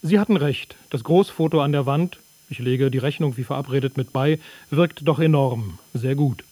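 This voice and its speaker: A man reading letters aloud in a radio production, plain and steady. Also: medium pitch, normal speed, no echo. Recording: dry studio.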